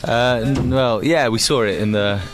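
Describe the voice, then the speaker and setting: A man's voice: medium pitch, moderate pace. A man chatting casually as an interviewed band member, hesitant and repeating words as he searches, with music behind.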